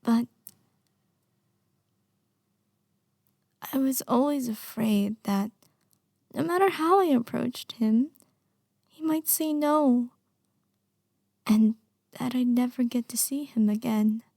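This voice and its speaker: An obsessive, manipulative young woman in love, acted by a woman for an intimate audio roleplay. Her voice is fairly high yet mellow, and she takes her time, drifting into breathy whispers. The sound is dry and close, as if she is speaking only to the listener. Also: expressive, takes it slowly, long pauses, no room sound, exaggerated voice. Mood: shy, anxious. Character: lovesick, obsessive, manipulative young woman under arrest, a yandere.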